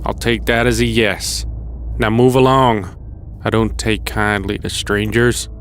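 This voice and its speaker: An actor playing a wary older male survivor in an audio drama, gruff and folksy. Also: lowish voice, steady tone, a little slow, fairly bright tone. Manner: folksy, plain-spoken. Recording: clear background noise.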